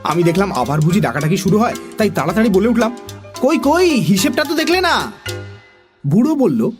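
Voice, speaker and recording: A curious young storyteller, voiced by a man tells a children's nonsense tale in a high, very lively voice. Faint music runs underneath. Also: moderate pace. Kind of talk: animated scripted narration of a children's story.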